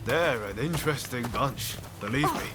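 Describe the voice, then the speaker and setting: An actor playing a friendly, roguish young samurai in a video game, with a breezy, teasing manner. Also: male voice, medium pitch, lively intonation, normal speed, over loud music, close to an everyday voice. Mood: friendly, enthusiastic. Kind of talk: video game cutscene acting.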